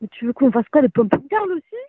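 A woman with a very high voice speaks bossily and snaps insults. Her voice comes through a tinny, phone-like call line with no room sound around it. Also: sing-song, slightly rough, no room sound. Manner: bossy, quick to insult. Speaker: stranger on a video chat.

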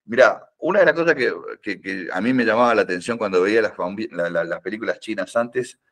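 A man's voice: lowish voice, a little fast, fairly bright tone. Older Argentine man, rambling teacher talk. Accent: Rioplatense Spanish. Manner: rambling, many fillers and restarts, teasing humor. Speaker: karate teacher, podcast guest. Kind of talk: casual podcast conversation.

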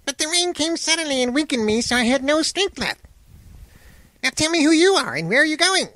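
A lively fire spirit from a storybook, sociable and excitable. The voice is very high and crackly, leaping about in an exclamatory way. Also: male voice, a little fast, no echo, heavily acted. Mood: relieved, eager. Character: bright, lively red-haired fire-man, excitable and sociable.

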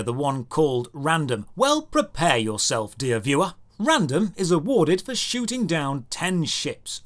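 A man's voice: high voice, fairly bright tone. A British man narrating a scripted comedic game review in a sarcastic, mock-grandiose, theatrical manner. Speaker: video game reviewer and host.

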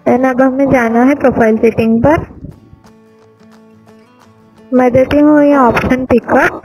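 A woman's voice: high-pitched, steady tone, slow, a little mellow, breathy edge, soft background music, rough recording. Woman narrating an app walkthrough. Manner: step-by-step instructional, addressing viewers as friends. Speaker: app tutorial presenter. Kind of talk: screen-recorded app walkthrough. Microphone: voice-over over screen recording.

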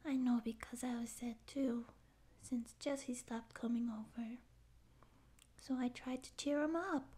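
A young woman acting a naive, pouty stray catgirl in a soft, halting ASMR roleplay voice. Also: fairly high pitch, steady tone, close to an everyday voice. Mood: sad, tearful. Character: naive, tearful stray catgirl would-be space pirate. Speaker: ASMR voice actor. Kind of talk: ASMR roleplay audio drama.

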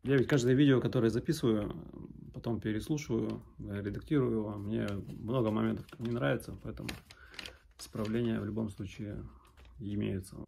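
A man's voice: lowish voice, steady tone, a little fast, vocal fry, warm tone, a little hiss or hum. A man in his thirties from Arkhangelsk in northern Russia, answering questions in a casual vlog with hesitant, broken phrasing. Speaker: sailor vlogger. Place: small indoor room at home.

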